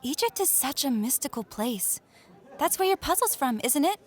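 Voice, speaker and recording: A woman voicing a cheerful, curious, friendly teenage schoolgirl in an animated audio drama. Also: high voice, normal speed.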